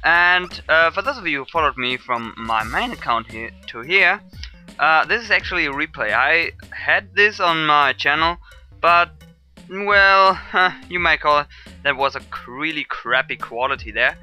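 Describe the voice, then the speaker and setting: A German-accented man gives loose let's-play commentary in a very bright, cutting voice. He rambles and hesitates, filling gaps with ums and uhs. Faint game music plays in the background. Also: fairly high pitch, animated, measured pace. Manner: rambling, hesitant with many fillers. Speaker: let's player. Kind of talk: casual gameplay commentary.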